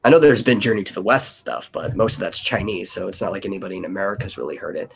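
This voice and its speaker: Man, low husky voice, casual stream host, explanatory, thinking aloud. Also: fast talker. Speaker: stream host brainstorming an audio drama. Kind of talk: casual brainstorming chat.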